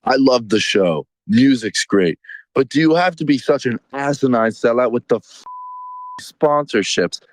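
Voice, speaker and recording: A man's voice: medium pitch, animated, unhurried, no room sound, close to an everyday voice, rough recording. A man playing a bitter, confrontational caller berating a DJ in an amateur radio drama. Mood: scornful. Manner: blunt, sarcastic, confrontational.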